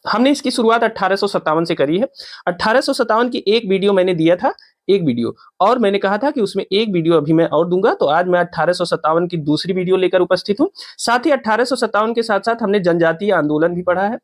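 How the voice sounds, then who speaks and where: High-pitched male teacher. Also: brisk, no echo, studio quality. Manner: didactic, repeats points and asks check questions. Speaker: teacher, exam coaching instructor. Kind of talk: informal exam-prep lecture.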